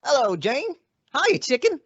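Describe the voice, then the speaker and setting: A man's voice: very high-pitched, huge pitch swings, unhurried, a bit bright, heavily acted. A man playing a breezy, slangy, wisecracking boyfriend in an old-time radio comedy. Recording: dull lo-fi radio-style recording.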